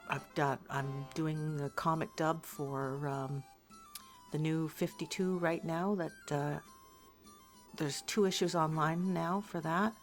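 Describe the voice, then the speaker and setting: Low-voiced woman, rambling and hesitant. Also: gravelly. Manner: rambling, hesitant, thinking aloud. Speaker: voice actor being interviewed. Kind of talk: casual interview chat.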